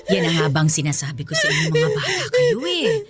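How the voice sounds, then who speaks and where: A woman's voice: very high voice, wildly swooping pitch, brisk, loud music behind the voice, full character voice. A woman playing a domineering, scolding middle-aged mother-in-law who coddles her son, with a Filipino accent, in a radio drama. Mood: exasperated. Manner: scolding, exasperated, folksy interjections.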